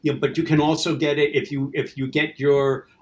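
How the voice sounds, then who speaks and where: A man's voice: on the high side, level tone, brisk. A man talking casually as a guest writer and producer in a conversation. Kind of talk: casual chat.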